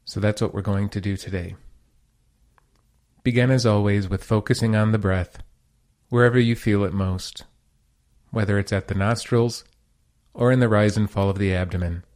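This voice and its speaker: Low-voiced man guiding a meditation. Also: fairly even intonation, moderate pace, long pauses, darkish tone. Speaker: meditation teacher, host. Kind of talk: guided meditation, calm instruction.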